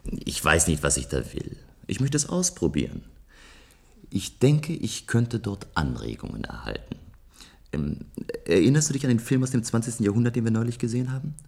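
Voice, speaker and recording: A restless dreamer from a future society in a German radio play. The voice sits extremely low, with a gravelly, husky grain. He muses deliberately, longing for adventure. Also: a little mellow, exaggerated voice. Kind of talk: German science-fiction radio play acting, narrating and dialogue.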